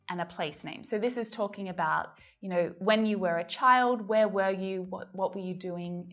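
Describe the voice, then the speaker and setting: A woman's voice: mid-range voice, fairly bright tone. Patient woman teaching English. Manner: clear, patient, slows down to model example phrases. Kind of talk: English lesson to camera.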